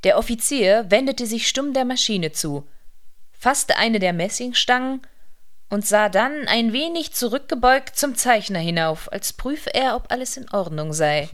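A woman's voice: on the high side, expressive, a little slow. A woman narrating a literary radio play from a script.

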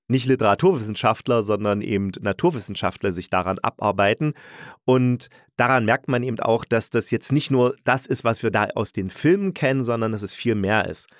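Male podcast reviewer, mid-pitched, colloquial and enthusiastic with emphatic repeats, recorded dry. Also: dry recording. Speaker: podcast host reviewing radio plays. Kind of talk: casual spoken review monologue.

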